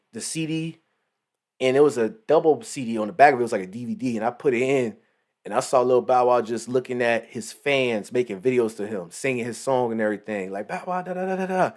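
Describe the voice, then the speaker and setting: A man's voice: medium pitch, brisk, a little mellow. A man in his late twenties giving rambling, slangy casual commentary with lots of asides. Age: 20s. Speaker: commentary channel host.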